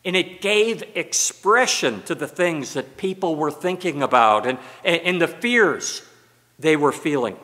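An older American man, a pastor, preaching a sermon from a pulpit with broad gestures. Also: fairly high pitch. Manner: slow, expressive preaching with broad hand gestures. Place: church sanctuary, at a pulpit. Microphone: pulpit mic. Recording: long church reverb.

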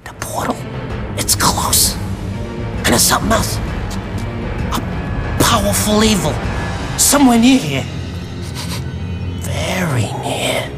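An actor voicing a small, mouthy undead sidekick in a video game, sarcastic and slangy. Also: male voice, sits high, big pitch swings, slow, pauses often, exaggerated voice. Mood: ominous. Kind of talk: video game cutscene acting. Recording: loud music behind the voice.

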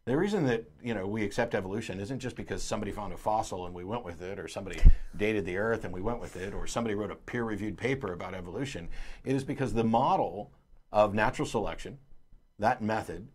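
A man's voice: fairly low pitch, fast talker, slight background noise. A middle-aged man hosting a call-in show in a studio, explaining his points emphatically and gesturing as he talks. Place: studio, at a desk. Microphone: lapel mic.

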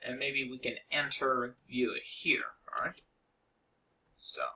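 A man's voice: medium pitch, flat delivery, takes it slowly, sharp tone, slight background noise. A young man casually narrating a game-building tutorial over a screen recording, rambling with many fillers and asides.

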